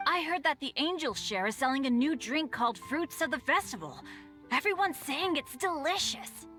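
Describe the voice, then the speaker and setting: A woman's voice: sits high, animated, moderate pace, fairly bright tone, faint music behind. A woman playing a friendly, upbeat young female character in a video game.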